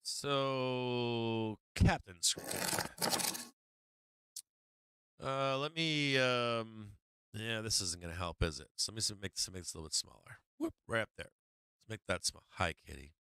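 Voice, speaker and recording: A man's voice: fairly low pitch, unhurried, pauses often, sharp tone, smooth, dry recording, clean studio sound. A man hosting a stock market livestream, talking casually and profanely through charts with many fillers while he is losing his voice.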